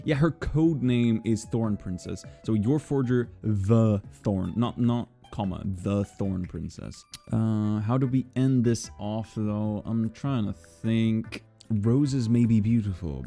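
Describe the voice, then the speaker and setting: A young man who creates videos, chatting casually in an informal, excitable and expressive way. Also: on the low side. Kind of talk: casual chatty vlog talk.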